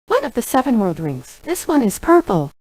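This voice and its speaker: A very high, swooping young genie girl, voiced by a woman for a game dub. She is gentle and apologetic, with a breathy, thin tone and stilted synthetic earnestness, recorded very dry. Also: huge pitch swings, moderate pace, no room sound. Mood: earnest. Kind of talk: AI text-to-speech dub of game cutscenes.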